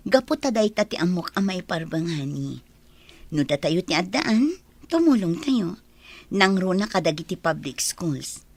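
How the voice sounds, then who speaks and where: A woman's voice: fairly low pitch, very animated, unhurried, pauses often, a little mellow, no echo, exaggerated voice. A Filipino woman in a radio drama playing a devoted, supportive wife with an encouraging manner. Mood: proud, affectionate. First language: Ilocano. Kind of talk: Ilocano radio drama acting. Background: background music.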